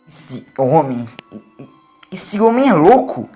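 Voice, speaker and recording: A man's voice: fairly high pitch, big pitch swings, slow, faint music behind, pitched above the performer's own voice, exaggerated voice. A man voicing an officious, blustering ship's chief in an audio story, formal and authoritative. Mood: indignant. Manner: authoritative, formal, forceful announcements. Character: officious male ship chief, blustering, anxious to keep order. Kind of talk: audio story character acting.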